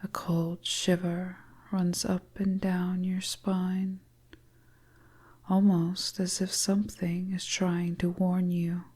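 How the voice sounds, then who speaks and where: Slow, whispery woman storyteller. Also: on the low side, monotone. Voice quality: breathy, whispery. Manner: slow, hushed, second-person suspense narration. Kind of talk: hypnotic whispered story narration.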